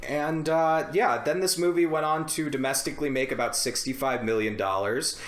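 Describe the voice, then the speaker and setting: A man's voice: on the high side, fairly even intonation, normal speed. A young man casually discussing films as a podcast host, conversational and prone to swearing. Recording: roomy, echoey indoor sound.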